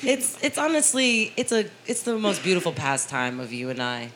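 A woman's voice: on the low side, moderate pace, fairly bright tone, a little hiss or hum, lightly acted. A woman playing a doting, fawning male police captain in an improvised comedy radio parody. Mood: adoring. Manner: fawning, eager.

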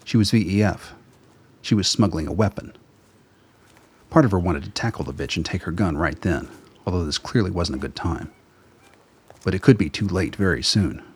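Deep, husky male narrator. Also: normal speed, long pauses. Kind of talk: scripted radio play narration.

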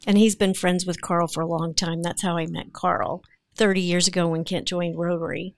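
Female actress guest, creaky voice in a dry close sound, chatting casually in interview. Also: on the low side, flat delivery, normal speed, no room sound.

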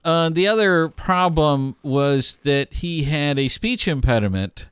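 A man's voice: a little high, expressive, a little slow, fairly bright tone, clean tone, no room sound, studio quality. A man co-hosting a podcast, telling stories in a casual, jokey, rambling way. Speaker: podcast co-host. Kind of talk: casual comedic storytelling chat.

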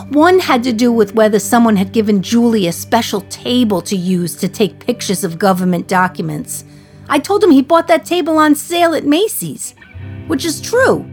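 Woman playing a wry condemned prisoner, higher voice, sardonic, thoughtful, radio play monologue with faint music.

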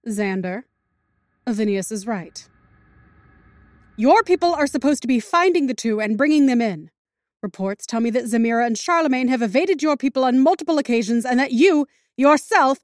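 A woman playing a commanding, coolly menacing villain leader in a scripted audio drama, speaking in a poised, measured way. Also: on the high side, a little mellow, dry recording, studio quality, natural voice.

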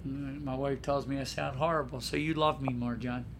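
A man's voice: mid-range voice, fairly even intonation, normal speed, vocal fry. Scratchy-throated man hosting a podcast. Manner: blunt, joking, says his co-host's name often. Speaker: podcast host. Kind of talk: casual chat.

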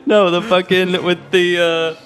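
A man's voice: high voice, little pitch movement, unhurried. A man co-hosting a podcast, chatting informally about music. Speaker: podcast co-host. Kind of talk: casual music discussion.

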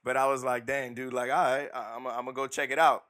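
A man's voice: mid-range voice, little pitch movement, quick pace, fairly bright tone. A man co-hosting a podcast, telling stories in a laid-back, slangy way. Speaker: podcast co-host. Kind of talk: casual podcast chat.

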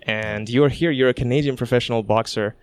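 A man's voice: mid-range voice, a little fast, smooth, no echo. A man originally from Kazakhstan hosting a podcast interview outdoors, in friendly, chatty conversation with plenty of fillers. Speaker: podcast host. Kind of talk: casual interview chat. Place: outdoors outside a studio.

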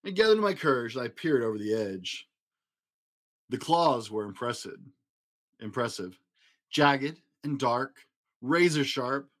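A man narrating a children's picture book in an expressive storytelling style. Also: mid-range voice, a little slow, long pauses. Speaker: storybook reader.

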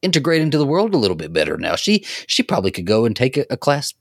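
A man's voice: mid-range voice, wildly swooping pitch, fast talker, no echo, studio quality. A man co-hosting a casual pop-culture podcast, chatting informally. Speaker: podcast host.

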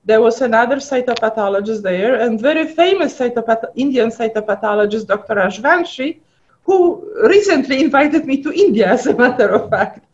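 A woman's voice: on the high side, lively intonation, normal speed. A middle-aged woman from Poland with a Polish accent, a guest pathologist chatting and telling stories in an interview. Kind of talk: casual interview chat. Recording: dull lo-fi audio.

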